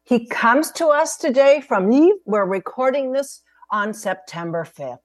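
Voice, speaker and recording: A woman hosting an interview, reading her guest's credentials in a measured, careful way over a video call. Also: on the high side, lively intonation, no echo. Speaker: host. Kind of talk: formal interview introduction.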